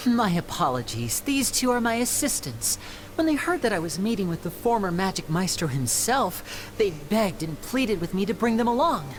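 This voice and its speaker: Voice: medium pitch, big pitch swings, moderate pace, slight background noise, natural voice. A voice actor playing a charming young male stage magician in a video game, speaking politely and persuasively. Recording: game audio with music.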